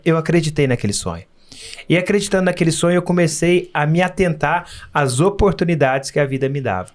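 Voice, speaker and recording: A Brazilian man from Minas Gerais speaking as a podcast guest, telling personal stories in an earnest, emphatic, conversational way. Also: fairly high pitch. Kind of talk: casual interview storytelling.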